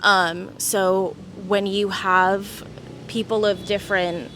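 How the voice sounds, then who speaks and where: A woman in an interview talks things through at an easy pace, thinking aloud with frequent "like" and "um". Her voice sits in a middle pitch, with a faint hiss of noise behind it. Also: flat delivery, takes it slowly, a bit bright. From United States. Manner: thoughtful, many "like" and "um" fillers. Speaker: interview guest. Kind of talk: casual reflective conversation.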